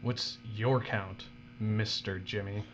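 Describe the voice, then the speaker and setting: An uneasy young recruit in a dystopian audio drama, played by a man. His voice is fairly low, subdued and hesitant. The recording is dull, with a clearly audible hum. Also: fairly even intonation, slow, a bit bright. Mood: quietly defiant. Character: uneasy, squeamish young male novice worker.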